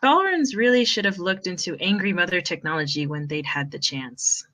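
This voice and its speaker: A woman with a somewhat low, bright voice narrates expressively. She reads like a storyteller sharing fiction with a group on an online voice chat. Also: animated, moderate pace. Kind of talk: expressive storybook-style narration, chatty between takes.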